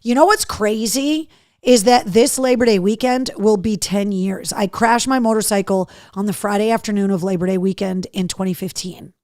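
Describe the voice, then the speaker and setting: A middle-aged woman hosting a live show, chatting casually and candidly with viewers in a slightly hoarse voice, with a cheeky streak. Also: medium pitch, darkish tone, no echo, studio quality. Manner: candid, cheeky, mildly sweary. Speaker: podcast and livestream host. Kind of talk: casual live chat to viewers, reading out comments.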